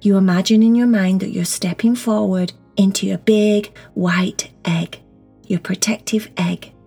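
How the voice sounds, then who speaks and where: A woman leads a guided meditation for children, speaking softly and breathily, often close to a whisper, in a gentle, reassuring way with simple words. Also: mid-range voice, steady tone, unhurried, gravelly, husky. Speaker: guided meditation teacher and host. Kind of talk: children's guided meditation, slow soothing instruction. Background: soft background music.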